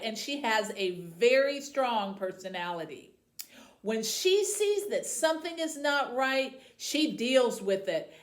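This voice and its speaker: A woman with a fairly high voice speaks as a librarian addressing tweens. Her pitch moves up and down in a very animated way, while her pace stays slow and deliberate, as in a book talk or read-aloud. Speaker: youth services librarian hosting a book program.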